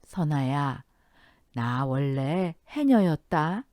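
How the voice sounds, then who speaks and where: A woman's voice: sits low, expressive, very slow, mellow, no room sound, clean studio sound, full character voice. A woman voicing a kindly, spirited elderly mother-in-law with an affectionate, plain-spoken manner in a radio story. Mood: playful. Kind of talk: Korean radio story dialogue.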